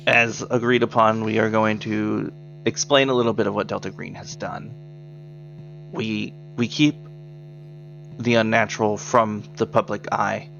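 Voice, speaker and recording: A man playing a formal, guarded government agent recruiting in a tabletop roleplay, choosing words deliberately. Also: on the low side, vocal fry, fairly bright tone, muffled recording, a little hiss or hum.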